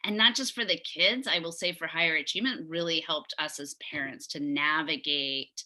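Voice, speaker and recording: A woman's voice: sits low, moderate pace, pushed and bright. Chatty woman chairing a video meeting. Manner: talkative, enthusiastic, trailing restarts. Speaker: meeting chair of neighborhood committee. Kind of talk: virtual community meeting. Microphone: video call.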